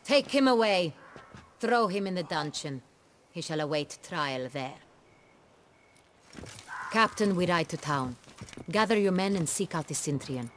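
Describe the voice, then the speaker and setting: A woman's voice: fairly low pitch, expressive, unhurried, long pauses, a little hiss or hum. An actress playing an imperious, impatient noblewoman ruler in a video game, speaking in formal, commanding, archaic phrases. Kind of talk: dramatic video game acting.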